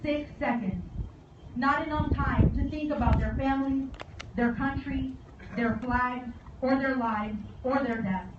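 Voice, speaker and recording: Echoey, distant high-voiced woman giving solemn speech. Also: steady tone. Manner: solemn, measured oratory with deliberate phrasing. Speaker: guest speaker at a memorial service. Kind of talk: formal commemorative speech. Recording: echoey, distant, dull and very noisy.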